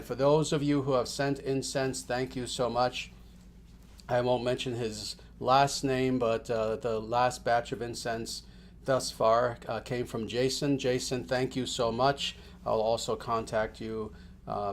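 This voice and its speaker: Gentle rambling spiritual teacher, a man. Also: mid-range voice, a little hiss or hum. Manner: gentle, rambling, with many fillers and restarts. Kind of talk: livestream announcements to followers.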